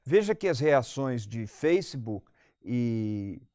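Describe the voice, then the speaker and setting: A man's voice: mid-range voice, big pitch swings, takes it slowly, mellow, dry recording, clean studio sound. A Brazilian man giving analytical commentary as a guest in a panel debate, articulate and explanatory, repeating points for emphasis.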